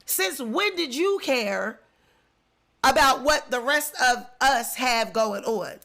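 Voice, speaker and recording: A woman's voice: high-pitched, expressive, slow pace, gravelly, a bit bright. A congested woman with the flu giving indignant, emphatic celebrity commentary in a vlog. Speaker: commentary vlogger.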